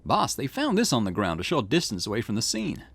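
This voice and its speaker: A friendly young police officer, easygoing and upbeat, voiced by a man. His pitch swings widely, with some gravelly fry at the ends of phrases. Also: huge pitch swings, a little fast, fairly bright tone, dry recording, exaggerated voice.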